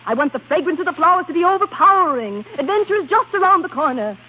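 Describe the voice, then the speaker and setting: Fiery romantic prima donna, high and very animated, vintage radio drama, tinny recording. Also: female voice, fast. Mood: rapturous, imperious. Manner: melodramatic, imperious. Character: fiery, jealous, romantic opera diva. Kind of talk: 1930s radio acting.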